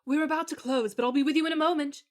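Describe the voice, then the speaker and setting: A woman voicing a gentle, sweet young woman shopkeeper in love, speaking politely and a little formally in an audio drama. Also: high-pitched, steady tone, racing, natural voice. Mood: polite, helpful. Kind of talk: audio fiction acting.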